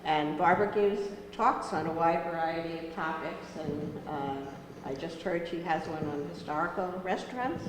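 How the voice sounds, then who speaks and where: A woman hosting a lecture, introducing the speaker with halting, filler-heavy remarks. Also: low voice. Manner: hesitant, many ums and uhs. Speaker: program host introducing a speaker. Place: lecture room with audience. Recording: very echoey room, faint noise.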